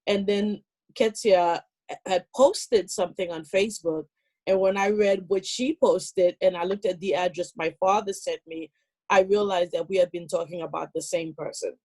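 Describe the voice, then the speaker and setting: A woman from Haiti telling a personal story on a video call, with many pauses and fillers. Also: on the low side, fairly even intonation, a little mellow. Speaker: meeting participant.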